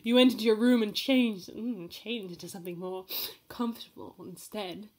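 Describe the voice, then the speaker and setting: A woman's voice: mid-range voice, moderate pace, a little mellow. A woman giving a comedic, mock-dramatic reading of fanfiction, playful and giggly, breaking off to comment. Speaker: fanfiction reader.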